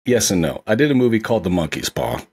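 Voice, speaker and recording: Husky low-voiced American man, agreeable. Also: sing-song, quick pace, darkish tone. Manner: agreeable, enthusiastic, informal. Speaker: podcast co-host, filmmaker. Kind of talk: casual podcast chat.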